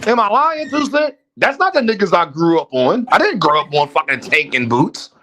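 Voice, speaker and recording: A man's voice: high-pitched, sing-song, normal speed, bright, forceful tone. Emphatic man ranting on a boxing stream. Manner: emphatic, swears freely, repeats names. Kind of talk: casual panel chat.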